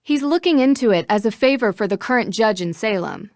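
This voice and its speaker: A woman's voice: fairly high pitch, lively intonation, a little fast, darkish tone, no echo. An actress playing a curious, confident young female detective in a video game, inquisitive and a little dry in manner. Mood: friendly.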